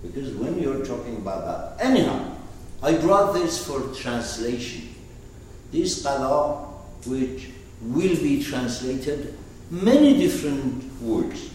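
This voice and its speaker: A man's voice: a little high, a little mellow, slight background noise. Man with an Arabic accent teaching. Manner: digressive, asks the room questions. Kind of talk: explaining terms to a class. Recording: roomy, far from the mic.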